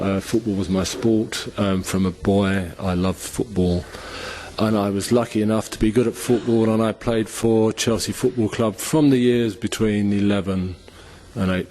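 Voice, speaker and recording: A soft-spoken man in his sixties speaks hesitantly into a stage microphone, pausing often with fillers. His voice is fairly low. A faint background noise runs underneath. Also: fairly even intonation. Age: 60s. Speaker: panel speaker, former footballer. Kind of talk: personal testimony at a forum.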